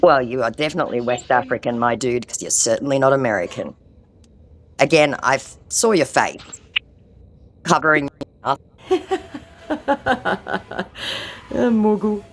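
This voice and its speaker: A woman's voice: rumbling low, very animated, a little slow, long pauses, slight background noise. A woman with an ear infection, mocking a phone scammer in a sarcastic, confrontational way. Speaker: scam-baiting vlogger. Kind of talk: confronting a phone scammer, then chatting to viewers.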